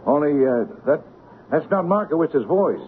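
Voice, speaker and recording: An actor playing a slow-witted, doubtful accomplice who stammers and hesitates in incredulity, in an old-time radio drama. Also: male voice, a little high, expressive, phone-quality sound, close to an everyday voice. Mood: doubtful, puzzled. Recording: band-limited, noisy radio drama recording.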